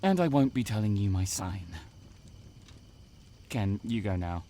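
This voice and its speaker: A man's voice: on the low side, big pitch swings, unhurried, mellow, slight background noise. An actor playing a grumpy, reluctant 21-year-old male student in an audio drama, dry and exasperated.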